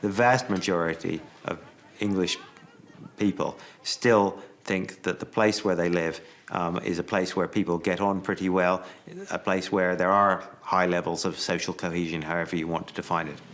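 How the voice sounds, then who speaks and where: A man's voice: deep voice, steady tone, normal speed, a bit bright, a little hiss or hum. A man giving careful, analytical explanations as an expert interviewee. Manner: careful, analytical, self-correcting.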